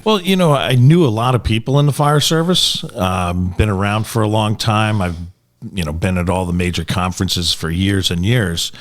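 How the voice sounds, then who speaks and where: A middle-aged man in casual podcast conversation, reflecting in a halting, folksy way. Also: on the low side, fairly even intonation. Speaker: podcast panelist. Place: small podcast room indoors at a table. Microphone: podcast microphone on a stand.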